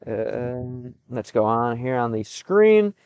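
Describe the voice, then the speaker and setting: American man streaming in halting Russian. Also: medium pitch, big pitch swings, darkish tone, clear, resonant tone, no room sound, highs cut off. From United States. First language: English. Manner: halting, mixes Russian and English, reads chat aloud. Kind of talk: casual live stream chat with viewers.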